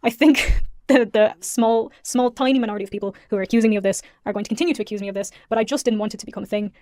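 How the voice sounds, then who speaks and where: Young woman, Irish accent, very fast talking, earnest and apologetic, dry close sound. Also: mid-range voice, a little mellow, dry recording. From Ireland. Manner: earnest, apologetic, rapid talk. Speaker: YouTuber being reacted to. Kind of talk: apology video.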